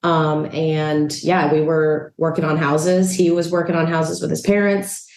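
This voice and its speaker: Low-voiced Salvadoran-born woman, relaxed chatty interviewee, echoey room. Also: a little slow. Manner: relaxed, chatty, frequent "um" and "you know". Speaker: real estate agent and investor. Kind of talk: casual interview chat. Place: a rental apartment.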